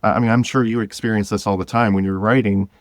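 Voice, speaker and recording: A man talking casually and enthusiastically as an interview guest, in an informal, halting way. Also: lowish voice, steady tone, no echo. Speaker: podcast guest, drama creator.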